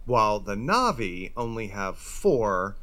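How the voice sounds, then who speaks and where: A man's voice: lowish voice, sing-song, slow pace, bright, forceful tone, exaggerated voice. A man playing a laid-back musical-theatre writer with a casual, droll delivery. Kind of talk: scripted audio comedy acting.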